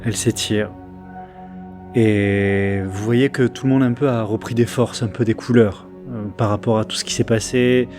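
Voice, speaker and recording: A man narrating as game master of a tabletop game, halting with many fillers and restarts. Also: fairly low pitch, steady tone, music in the background.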